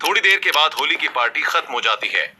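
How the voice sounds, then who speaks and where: A man's voice: a little high, lively intonation, quick pace, heavy background noise. A man reading scripted narration as the storyteller of a family tale. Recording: faint background music.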